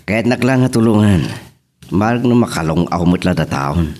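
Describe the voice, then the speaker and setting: Male actor as anxious farmer husband, radio drama; low rough voice, very varied intonation. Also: lively intonation, moderate pace, darkish tone. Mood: resigned, uneasy. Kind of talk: amateur radio-style drama acting.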